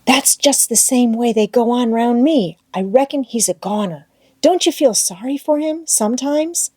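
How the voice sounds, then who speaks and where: A woman's voice: a little high, animated, normal speed, a little mellow, husky, no room sound, studio quality, voice pushed up. A woman voicing an anxious, earnest young boy in an audiobook, with folksy rustic dialect and eager questions. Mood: worried, pitying.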